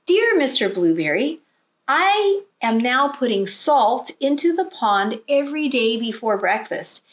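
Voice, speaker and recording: Eager, earnest little girl for a storybook, played by a woman; high, very animated delivery. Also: unhurried. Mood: hopeful. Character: eager little girl writing about her whale. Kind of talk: storybook read-aloud.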